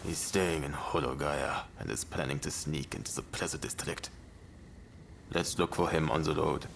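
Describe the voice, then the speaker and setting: A man's voice: a bass voice, steady tone, moderate pace, gravelly, a little hiss or hum. A man playing a stern, ruthless anti-foreigner samurai rebel in a video game cutscene. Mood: guarded, businesslike.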